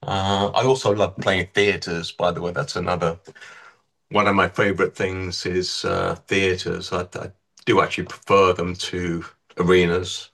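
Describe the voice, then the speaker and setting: A man with a husky voice from an allergy, chatting casually in an interview about touring with his band. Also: deep voice, normal speed. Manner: relaxed, rambling with restarts. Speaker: touring band musician, guest.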